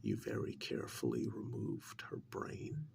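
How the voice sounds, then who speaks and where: Man playing a slow, creaky whispering sinister interrogator. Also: rumbling low, huge pitch swings, darkish tone, heavily acted. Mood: quiet insinuation. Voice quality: breathy whisper with gravelly creak. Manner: slow, deliberate, mock-admiring, dramatic pauses. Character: sly, insinuating male interrogator needling a mad scientist. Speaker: ASMR role-play performer. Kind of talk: soft-spoken whispered ASMR role-play.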